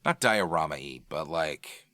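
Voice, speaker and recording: A man's voice: a bass voice, animated, slow pace, sharp tone, dry recording, clean studio sound. A man co-hosting a gaming podcast, chatting casually. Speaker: podcast co-host.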